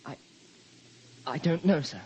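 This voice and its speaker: A high, nervous schoolboy. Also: male voice, lively intonation, unhurried, dark tone, breathy edge, highs cut off, heavily acted. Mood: frightened, evasive. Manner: hesitant, stammering starts, deferential. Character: nervous, lonely, pleading English schoolboy. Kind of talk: old-time radio drama acting. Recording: old radio drama, faint noise.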